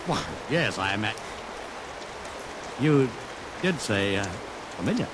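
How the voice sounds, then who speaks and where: An actor in a 1950s radio drama playing a brash, fast-talking male ad man with breezy, slangy salesman patter. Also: on the low side, expressive, background noise. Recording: vintage radio recording under loud rain.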